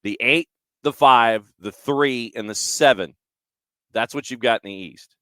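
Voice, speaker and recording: A man's voice: medium pitch, measured pace, fairly bright tone, no room sound. A man hosting casual sports talk, recapping results in short, clipped phrases with dry asides. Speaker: sports show host.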